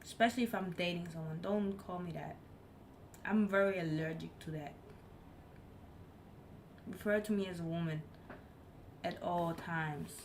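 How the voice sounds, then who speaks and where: A woman's voice: on the low side, normal speed, long pauses, slight background noise. A woman chatting casually on a live stream, speaking English as her third language and stressing her points by repeating them. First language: not English. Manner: emphatic, repeats herself for effect. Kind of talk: casual chat.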